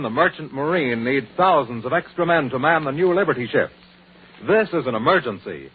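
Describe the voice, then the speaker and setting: Old muffled 40s radio announcer, american guy, husky, really lively up and down reading. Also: medium pitch. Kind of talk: 1940s scripted radio announcing.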